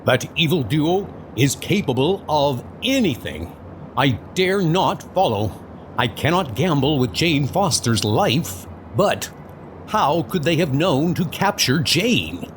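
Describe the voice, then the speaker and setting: A man's voice: fairly high pitch, big pitch swings, slow, slight background noise. A man playing a noble, resolute thunder god, speaking in an earnest, declamatory way for a comic-book dub. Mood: anguished.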